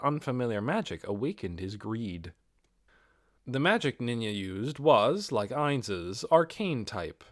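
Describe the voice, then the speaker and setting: A man's voice: medium pitch, dry recording, clean studio sound. A man reading a fantasy novel aloud as scripted audiobook narration. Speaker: audiobook reader.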